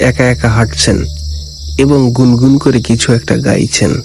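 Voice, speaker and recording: A man's voice: mid-range voice, fairly even intonation, moderate pace, gravelly, darkish tone, a little hiss or hum. A man narrating a scripted ghost story as a storyteller.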